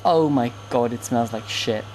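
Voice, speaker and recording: A woman's voice: a bass voice, measured pace, darkish tone. Chatty young woman with a noisy camera. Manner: chatty, rambling, talks to her pet budgie. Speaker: vlogger. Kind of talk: casual home cooking vlog. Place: small home kitchen, indoors. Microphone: handheld camera.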